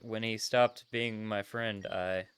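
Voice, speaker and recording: A man's voice: fairly low pitch, fairly even intonation, takes it slowly, a bit bright, clean tone. A man voicing an earnest, sociable but self-doubting young trainer in a visual novel, casual and hesitant. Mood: vulnerable. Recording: music behind the voice.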